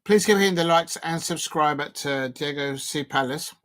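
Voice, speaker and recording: A man from Colombia hosting a live football watch-along, talking casually over the match with many fillers and restarts and sudden excited outbursts. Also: a little high, moderate pace.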